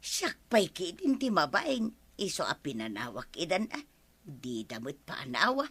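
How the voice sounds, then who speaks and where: A woman's voice: lowish voice, wildly swooping pitch, measured pace, a bit bright, breathy edge, exaggerated voice. A woman playing a gossipy village neighbour who tattles, in an Ilocano radio comedy drama. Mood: complaining.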